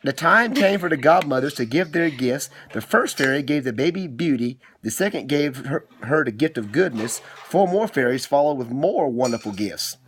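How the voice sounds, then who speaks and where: A middle-aged man with a fairly low voice for storytelling reads aloud to his child. He is theatrical and teasing, and keeps interrupting himself with asides. The homemade recording has faint noise behind him. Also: animated, normal speed, few pauses, a bit bright. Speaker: father telling a bedtime-style story.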